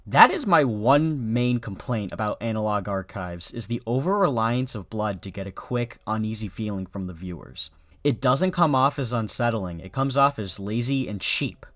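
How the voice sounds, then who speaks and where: A man's voice: lowish voice, a bit bright, dry recording. A man narrating a scripted video review in an informal, sardonic, sweary way.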